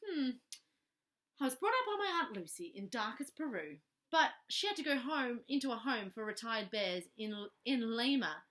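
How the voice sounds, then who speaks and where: A young bear from a children's storybook, voiced by a woman, speaks in a high, bright voice. He is polite and earnest, picking his words hesitantly and a little anxiously. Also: big pitch swings, moderate pace. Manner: hesitant, well-mannered. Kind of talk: children's storybook character reading.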